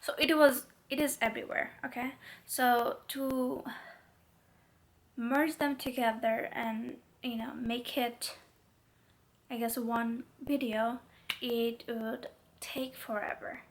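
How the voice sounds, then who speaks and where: A woman's voice: fairly high pitch, steady tone, slow pace, slight background noise. A young woman vlogging casually to camera with a stuffy, congested voice, chatty and rambling with many fillers. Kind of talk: casual talking-to-camera vlog.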